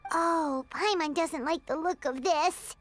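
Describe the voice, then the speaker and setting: A woman voicing a tiny, excitable, childlike female fairy companion in a video game, squeaky and dramatic. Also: slow pace, soft background music. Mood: uneasy, dramatic. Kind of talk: English video game voice acting.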